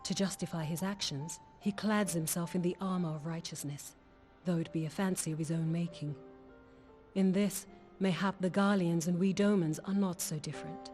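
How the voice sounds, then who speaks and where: Actress as a cool, mellow philosophical woman. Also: fairly low pitch, fairly even intonation, moderate pace, soft background music, close to an everyday voice. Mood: wry, reflective. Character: cool, philosophical Doman woman, knowing and detached. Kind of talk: dramatic video game voice acting.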